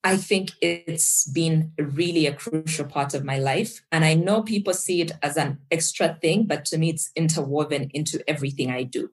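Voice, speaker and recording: A woman's voice: low-pitched, normal speed, few pauses. A woman from Zambia, a guest on an interview podcast, talking casually and upbeat with frequent laughter. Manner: upbeat, laughs often, animated when storytelling. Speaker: podcast guest, tech executive and fitness coach.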